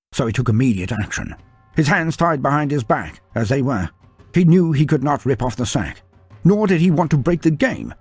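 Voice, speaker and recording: A man delivers dramatic fantasy narration with a highly animated, swooping delivery. His voice is slightly husky, and his storytelling is deliberate and theatrical, with faint music underneath. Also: no room sound.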